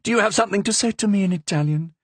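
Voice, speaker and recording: A man voicing an excitable young queen who gives commands and cries out with delight in a very high voice. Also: rapid-fire, husky, no room sound, lightly acted. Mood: commanding. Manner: shrill delighted exclamations.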